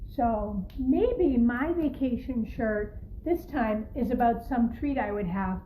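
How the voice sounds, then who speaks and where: A woman teacher gently and encouragingly talking young children through a craft in simple words as she demonstrates it. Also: a little high, expressive, takes it slowly. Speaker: primary school teacher leading a craft. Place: home kitchen, by the refrigerator. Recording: echoey room with faint background noise.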